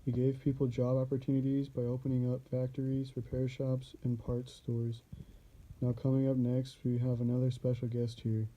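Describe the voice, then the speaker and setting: Young guy announcing an old-time radio show, dark tone, pretty flat reading. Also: mid-range voice, moderate pace, lo-fi sound. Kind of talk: scripted narration of a 1920s radio show.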